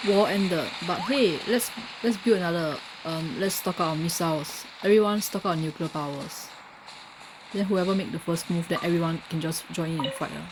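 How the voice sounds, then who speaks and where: A woman's voice: lowish voice, normal speed, noisy background. A woman with a Singaporean or Malaysian accent giving blunt, colloquial, casual commentary as she plays a game. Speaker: gaming streamer.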